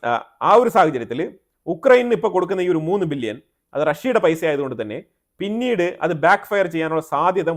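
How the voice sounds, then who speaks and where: A man with a Malayali accent presenting explanatory news commentary, often rephrasing himself and mixing in English terms. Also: on the high side.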